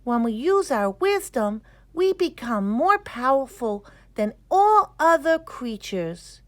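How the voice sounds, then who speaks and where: Woman playing an eager young boy, high and lively. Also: slow, no echo, full character voice. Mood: earnest wondering. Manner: emphatic, eager delivery. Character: earnest, curious young boy full of wonder. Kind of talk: children's storybook reading.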